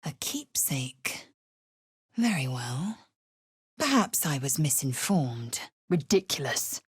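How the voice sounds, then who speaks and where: A proud alien warrior woman from a fantasy video game. Her voice is low and husky with a rasping edge. She speaks in slow, clipped, formal phrases, stern and scornful, as one used to giving orders. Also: dry recording, studio quality, full character voice. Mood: commanding. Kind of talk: dramatic game dialogue and narration.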